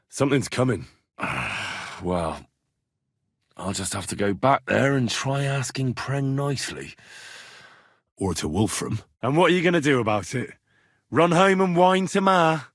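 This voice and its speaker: A man voicing an earnest, bold young man in a medieval video game, with a slightly husky voice and a plain-spoken, conversational delivery. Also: mid-range voice, no room sound, clean studio sound, full character voice. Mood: musing, then angry, challenging. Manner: conversational, earnest, assertive when challenged. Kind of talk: game dialogue voice lines.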